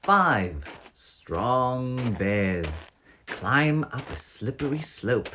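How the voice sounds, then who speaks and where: Slow, very animated male storyteller. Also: mid-range voice. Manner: expressive sing-song storytelling with deliberate phrasing. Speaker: children's story narrator. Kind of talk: read-aloud picture book narration. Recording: faint background noise with sound effects.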